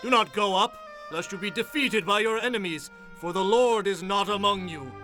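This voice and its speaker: A man playing an earnest prophet in a dramatized audio Bible, speaking in solemn, measured, imploring oratory. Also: high-pitched, close to an everyday voice. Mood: stern warning. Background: music.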